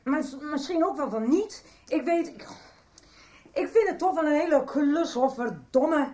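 High, animated, flustered mother. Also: measured pace. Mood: hesitant. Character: Kati, flustered, anxious, defensive mother. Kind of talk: Dutch radio soap acting.

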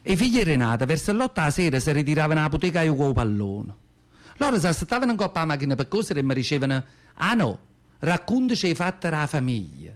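A man's voice: fairly high pitch, big pitch swings, quick pace. A man playing a nearly hundred-year-old poor, devout woman from southern Italy, telling her memories in a radio monologue with a Campanian accent and folksy dialect. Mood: tender remembering. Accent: Neapolitan-area southern Italian (Campania). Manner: folksy dialect storytelling, plaintive, repeats words for emphasis. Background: acoustic guitar music.

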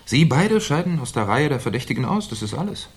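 A man's voice: medium pitch, big pitch swings, brisk, breathy edge. An actor playing a shrewd, politely ironic police commissioner in a 1970s German radio crime drama, musing and probing as he talks. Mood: musing, reasoning. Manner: musing, hesitant, slyly polite questioning.

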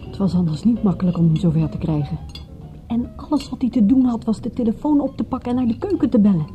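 An actress playing a detective's down-to-earth female companion, speaking in a dry, colloquial, gently teasing radio-drama manner. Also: a little high, fast talker, faint music behind, close to an everyday voice. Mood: wry. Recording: dull, muffled old radio-play recording.

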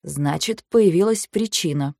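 A woman voicing a soft-spoken calm young man. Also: on the low side, unhurried, vocal fry, no echo, clean studio sound, heavily acted. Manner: mild, soft. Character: calm gentle male mage, soft-spoken.

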